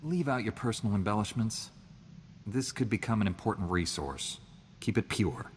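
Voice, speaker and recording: An actor playing an earnest male Jedi knight in a video game, speaking calmly and reprovingly. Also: fairly low pitch, in a large hall, slight background noise, natural voice. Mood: stern. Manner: calm, reproving, measured. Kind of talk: video game character dialogue.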